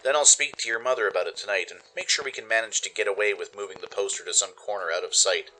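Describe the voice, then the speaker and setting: A man voicing a loving pony stallion father, a mechanic, gentle and reassuring, in a radio play. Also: fast, low-quality recording. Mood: tender. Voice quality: deep, gentle. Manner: soft, reassuring, affectionate.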